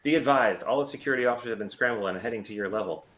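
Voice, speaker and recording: A man plays a wary starship captain in a sci-fi table read. He talks quickly, in clipped and urgent phrases, at an ordinary male pitch. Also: a bit bright, natural voice, low-quality recording. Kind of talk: amateur remote table read.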